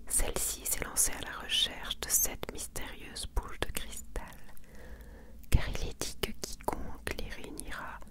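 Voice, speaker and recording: Whispering ASMR woman. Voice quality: breathy, unvoiced whisper. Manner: soft-spoken, intimate, unhurried. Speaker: ASMR host presenting a manga collection. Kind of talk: whispered ASMR presentation, mixing reading aloud and casual commentary.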